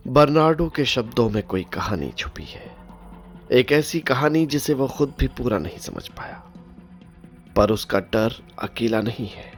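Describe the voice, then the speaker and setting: A man narrates an audio drama with great suspense. He speaks slowly, with wide swings in pitch and a tendency to sink into hushed phrases, over a music bed.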